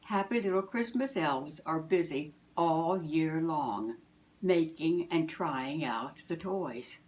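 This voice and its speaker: An older woman, a grandmother, reading a children's storybook aloud in a deliberate, expressive way. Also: sits low, poor audio.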